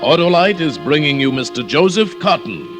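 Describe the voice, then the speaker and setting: A man announcing a vintage radio drama in a measured, theatrical style. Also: fairly high pitch, sing-song. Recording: vintage radio broadcast with loud music.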